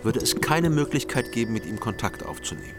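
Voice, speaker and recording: An actor playing a guarded, sober investigator who narrates a radio crime drama in an understated, matter-of-fact way. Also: male voice, medium pitch, very animated, brisk. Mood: sober, reflective. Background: music.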